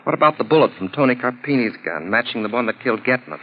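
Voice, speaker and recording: Guy doing gruff impatient official questioning his detective pal, 1940s radio play, faint hiss, muffled. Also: medium pitch, expressive, fast talker, close to an everyday voice. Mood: exasperated. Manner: blunt, testy questioning. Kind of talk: 1940s radio mystery acting. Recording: dull, noisy 1940s radio recording.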